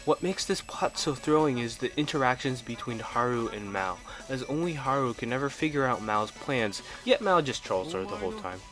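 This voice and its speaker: A man's voice: mid-range voice, animated, moderate pace, few pauses, poor audio. A man narrating a scripted video game review in an enthusiastic, opinionated way. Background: background music.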